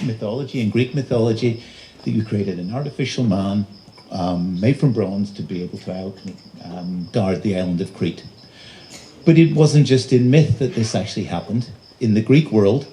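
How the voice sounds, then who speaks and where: A man giving a public lecture as an invited speaker, presenting slides in a halting, pausing delivery. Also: medium pitch, warm tone. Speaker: invited conference speaker, robotics researcher. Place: live talk at a festival venue. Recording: noisy live event recording.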